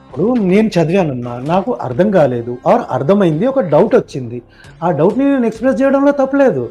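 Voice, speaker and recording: High-pitched, animated man explaining on a podcast. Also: fast talker, faint music behind. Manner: didactic, opinionated, explains point by point. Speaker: podcast guest.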